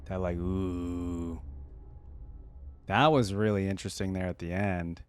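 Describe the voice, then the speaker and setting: Low-voiced man reacting over music. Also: steady tone, a little slow, clean tone, dry recording. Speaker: reaction video host. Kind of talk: casual reaction commentary. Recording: music playing behind the voice.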